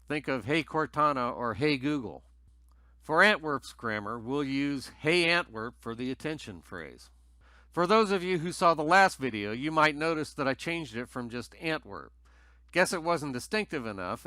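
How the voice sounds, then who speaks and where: A man with a bright, forward voice at a middle pitch presents a tutorial. He explains things methodically in scripted narration, recorded close and very dry. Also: dry recording.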